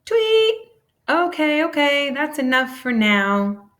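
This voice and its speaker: An eager, friendly little cartoon math symbol from a children's storybook, acted out by a woman reader. The voice is high and swoops around. It speaks in perky exclamations. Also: very animated, takes it slowly, a bit bright, exaggerated voice.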